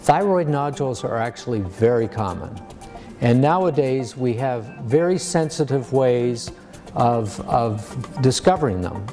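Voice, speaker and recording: An American man in his sixties, a surgeon explaining medicine to a lay audience in a measured, plain-spoken way. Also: mid-range voice, lively intonation, dark tone, faint music behind. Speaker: surgeon giving a public lecture. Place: medium-sized lecture room.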